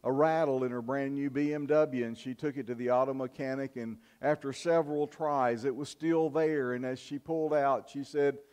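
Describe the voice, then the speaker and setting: An older man preaching a sermon in a church, in a measured, earnest style with emphatic pauses. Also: mid-range voice, steady tone, a little mellow, smooth. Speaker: pastor. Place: church sanctuary, at the pulpit. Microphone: fixed camera from the back of the church.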